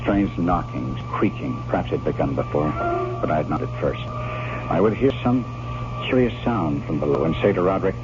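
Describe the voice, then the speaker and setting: Loud music runs under a dull vintage radio recording. an earnest, uneasy gentleman with a grave and formal dramatic delivery, recounting a horror as though still troubled by it. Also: deep voice, expressive, normal speed. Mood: uneasy dread. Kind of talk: old-time radio drama narration and acting.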